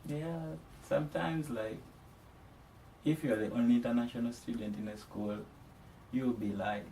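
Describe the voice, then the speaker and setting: A man's voice: medium pitch, steady tone, moderate pace, warm tone. A man from Uganda, an international student, giving frank, casual interview answers. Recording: roomy, distant, light noise.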